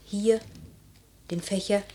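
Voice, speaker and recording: A woman's voice: mid-range voice, fairly even intonation, slow pace, dark tone, close to an everyday voice. Woman acting a polite lady's maid in an old radio play, medium voice, careful and deferential. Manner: polite, formal, explanatory. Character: deferential, careful maidservant to a noble lady. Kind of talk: classic German radio play.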